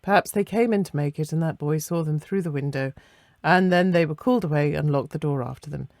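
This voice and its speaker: A woman voicing a fussy, puzzled scholarly gentleman in a storybook, prim and hesitant in manner. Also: deep voice, fairly quick, no echo, clean studio sound.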